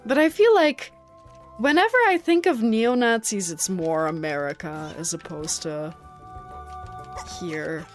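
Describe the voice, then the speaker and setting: A woman's voice: lowish voice, measured pace, faint music behind. A young woman from the Netherlands, a native Dutch speaker, chatting casually and rambling with many fillers while streaming a game, often breaking into giggles. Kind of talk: casual chat while gaming.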